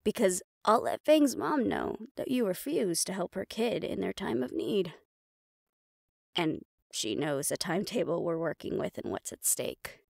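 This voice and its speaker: A woman's voice: fairly low pitch, very animated, measured pace, creaky, no room sound, studio quality, heavily acted. A woman playing a tough, threatening young supernatural woman in an audio roleplay, blunt and sardonic. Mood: menacing.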